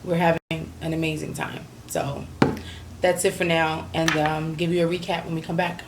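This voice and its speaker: A woman's voice: low-pitched, level tone, moderate pace, heavy background noise. A woman, chatting casually through a vacation vlog recap with lots of ums and you-knows. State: slightly hoarse. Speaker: vlogger.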